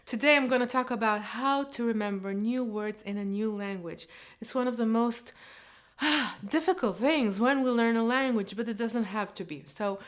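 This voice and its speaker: A woman's voice: on the high side, moderate pace. A woman in her thirties with an Israeli accent, a Hebrew teacher talking earnestly to camera in a living room. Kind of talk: casual vlog talk to camera.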